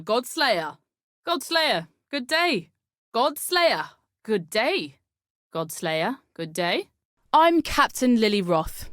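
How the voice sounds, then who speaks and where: A roguish female pirate captain from a video game. She talks in a salty, cocky and colloquial way, like a plain-spoken seafarer. Her voice sits at a middle pitch and is recorded close and dry, with no room sound. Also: no room sound. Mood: wry, settled, then brash, bold. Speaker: game voice actor. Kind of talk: video game character dialogue.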